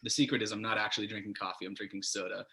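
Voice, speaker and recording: A man with a bright, forceful voice pitched a little low, chatting as a musician being interviewed. He rambles casually and animatedly, with a self-deprecating, sweary looseness. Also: flat delivery, very fast, vocal fry. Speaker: guitarist in a band, interview guest.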